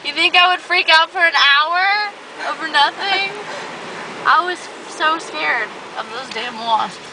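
A young woman talks slowly in a highish voice, jittery and complaining with plenty of swearing. She sits in a car in a car wash, recorded on a phone some way off. Loud machinery roars all around her. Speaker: driver. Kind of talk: casual chat. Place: inside a car going through a car wash. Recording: distant voice over loud car wash noise.

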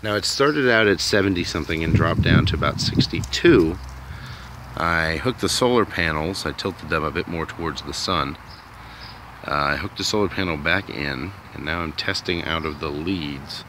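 Deep-voiced man musing outdoors. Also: moderate pace, noisy background. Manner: rambling, unsure, hedging aloud. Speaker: DIY vlogger. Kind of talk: casual thinking-aloud commentary. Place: outdoors in a sunny yard.

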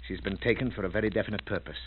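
An actor playing a brisk, shrewd investigator in a 1940s-style radio drama, clipped, probing and authoritative. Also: male voice, fairly low pitch, lightly acted. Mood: assured. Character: brisk, commanding British investigator, shrewd and urgent. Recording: narrow, tinny, hissy old radio recording.

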